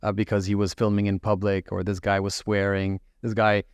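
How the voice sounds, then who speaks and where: A middle-aged man who grew up in the UAE, a lawyer answering interview questions in a measured way, often pausing with fillers and restarting phrases. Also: fairly low pitch, monotone, clear, resonant tone, no echo.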